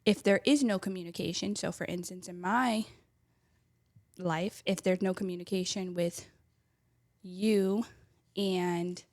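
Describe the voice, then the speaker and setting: A soft-spoken young woman chatting casually on a podcast, hesitant, full of fillers and trailing off quietly. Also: fairly low pitch, steady tone, measured pace, long pauses, no echo, clean studio sound. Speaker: podcast co-host. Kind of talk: casual chat. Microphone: close studio mic.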